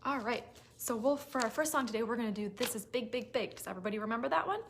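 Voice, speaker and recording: A woman hosting a toddler story time, reading aloud with expressive, simple phrasing for small children. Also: fairly high pitch, fast, gravelly. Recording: noisy recording with clicks.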